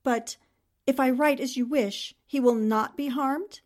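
A woman plays a young captive newspaperwoman in an old-time radio drama. Her voice sits fairly high, and she is firm, quick-witted and defiant toward her captors. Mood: anguished, bitter.

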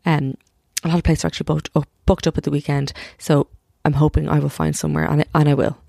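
A woman's voice: low voice, level tone, creaky, no echo, clean studio sound. A woman hosting a podcast, chatting casually as she introduces a guest and interviews her. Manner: chatty, warm-hearted, quick asides and laughs. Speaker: podcast host.

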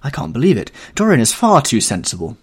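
Man reading as an earnest painter, excitable, protesting, husky, lively pitch. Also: fairly quick. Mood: incredulous. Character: earnest anxious male painter.

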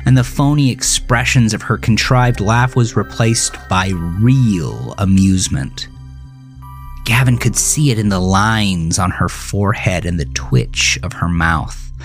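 Slow, deep-voiced male narrator. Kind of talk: scripted horror thriller narration. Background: music.

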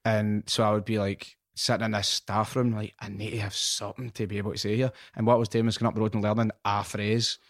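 A Scottish man hosting a podcast, telling stories in an animated, sweary way during casual chat. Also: fairly low pitch, fast, few pauses, no room sound, clean studio sound. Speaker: podcast host.

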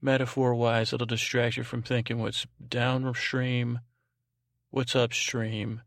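Creaky-voiced man rambling a bedtime monologue. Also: medium pitch, level tone, no room sound, clean studio sound. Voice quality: creaky, gravelly. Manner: halting, meandering, repeats and trails off; lulling, soothing. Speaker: podcast host. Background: music.

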